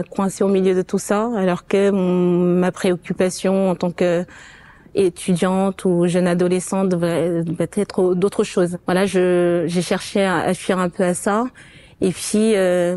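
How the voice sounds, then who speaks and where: A woman's voice: medium pitch, mellow. A woman from Portugal, speaking French as an additional language, gives a personal testimony as an interview guest, with frequent stops and restarts. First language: not French. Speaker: interview guest, quality manager.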